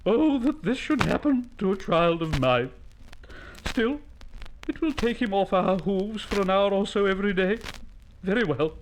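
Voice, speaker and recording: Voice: mid-range voice, lively intonation, slight background noise, voice pushed up, lightly acted, rough recording. A storyteller voicing a stern, long-suffering mother llama in a children's story, grand, imperious and theatrical. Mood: resigned. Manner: imperious, theatrical, drawn-out words.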